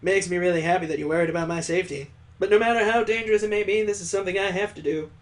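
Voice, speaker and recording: A man's voice: sits high, racing, natural voice. A man voicing a jovial, sentimental old father-in-law, hearty and earnest, in a visual novel read aloud. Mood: earnest, resolute.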